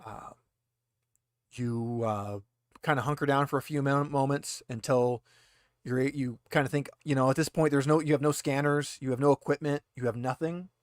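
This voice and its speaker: A man narrating a tabletop roleplaying game as game master in a halting, conversational way. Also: mid-range voice, steady tone, no room sound, clean studio sound.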